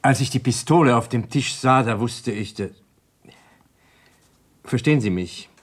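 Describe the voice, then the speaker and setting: An actor playing a resigned, guilt-ridden doctor and husband in a 1960s German radio crime drama, halting and weary. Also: male voice, medium pitch, lightly acted. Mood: resigned, anguished.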